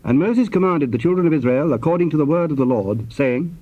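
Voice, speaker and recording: A man narrating scripture from a script in a formal, measured style. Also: on the high side, expressive. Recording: slightly dull tape sound.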